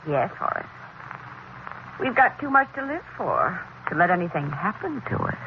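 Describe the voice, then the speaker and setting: An actress playing a sweet, agreeable wife with a coaxing manner, in 1950s radio drama style. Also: female voice, on the low side, big pitch swings, normal speed. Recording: tinny, hissy vintage radio recording.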